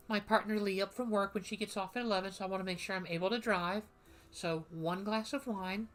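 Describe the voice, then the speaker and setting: A woman's voice: medium pitch, a bit bright. A woman streamer chatting casually and playfully while reading through a game, rambling between lines. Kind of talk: casual gameplay commentary.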